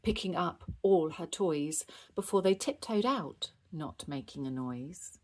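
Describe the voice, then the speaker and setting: A woman narrates a children's picture book in rhyme. Her delivery is unhurried and very animated, rising and falling with the story. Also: sits low. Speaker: storybook reader.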